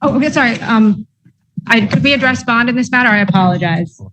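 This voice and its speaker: A woman's voice: fairly high pitch, brisk, a bit bright, low-quality recording. A woman arguing in court as a defense attorney, in a hesitant, polite and apologetic manner. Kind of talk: courtroom argument. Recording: faint background noise.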